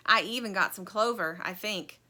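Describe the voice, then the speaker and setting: A woman's voice: medium pitch, moderate pace, sharp tone, lightly acted. Woman as a dim, chatty toy sheep. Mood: chatty, wistful. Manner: dim, rambling.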